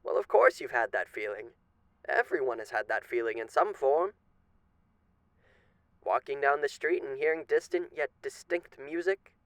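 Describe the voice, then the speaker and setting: A courteous, menacing male demon of the radio for an ASMR roleplay. His mid-pitched voice has a creaky, gravelly edge. He draws out his phrases like a genteel showman, teasing and faintly threatening, in a very dry, intimate space. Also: lively intonation, fairly bright tone, no echo. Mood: showman-like, sly. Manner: genteel, mock-polite, teasing, drawn-out theatrical phrasing. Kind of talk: theatrical ASMR roleplay monologue.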